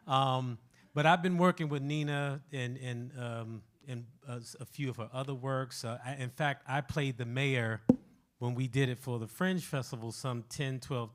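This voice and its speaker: A man, a musical director, talking casually and without a script about his work, in an informal, direct way. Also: medium pitch. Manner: informal, conversational, addresses listeners directly.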